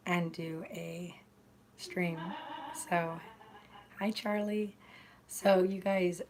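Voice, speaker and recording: A hoarse woman talks casually on her live stream, greeting viewers between thoughts. Her voice sits a little low and rough, and she stops often to clear her throat, filling pauses with ums and uhs. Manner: halting, many fillers, clears throat and coughs. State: hoarse after illness. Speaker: channel host streaming live.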